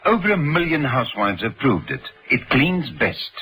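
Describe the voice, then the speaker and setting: A man's voice: mid-range voice, very animated, normal speed, very noisy. A man announcing adverts and programme credits in a vintage radio style. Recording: old radio broadcast with loud music. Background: loud music.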